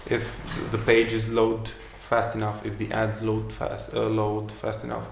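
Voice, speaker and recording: A man's voice: on the low side, completely flat delivery, a little slow, vocal fry, a little hiss or hum. A man answering questions as a guest entrepreneur in a live interview, speaking with many fillers and restarts. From Romania. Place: event room. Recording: echoey room with faint ambience.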